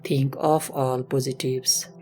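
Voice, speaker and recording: A woman instructor leading a slow guided meditation in a gentle, measured, instructional manner. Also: extremely low pitch, level tone. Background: music.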